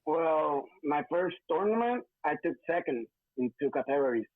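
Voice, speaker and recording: A man's voice: fairly high pitch, animated, no echo. Puerto Rican man, tinny dry sound. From Puerto Rico. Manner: halting, searching for words in English. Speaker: interview guest, wrestler. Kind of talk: casual interview answers.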